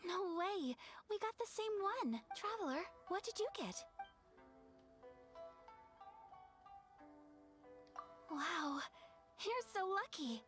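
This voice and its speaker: High-voiced, refined young noblewoman. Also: sing-song, measured pace, music in the background, full character voice. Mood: delighted. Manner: courteous, formal, soft-mannered. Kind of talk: anime-style video game voice acting.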